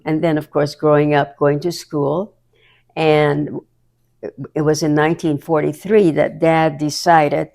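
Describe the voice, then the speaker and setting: Gravelly elderly Hawaiian woman, low voice. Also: darkish tone. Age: 90s. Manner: chatty storyteller, laughs often. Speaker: interview guest. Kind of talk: reminiscing conversation.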